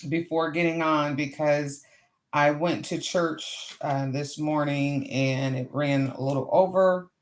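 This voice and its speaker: Hoarse low-voiced woman chatting on a craft livestream. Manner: chatty, greets viewers warmly and often. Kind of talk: casual live craft chat.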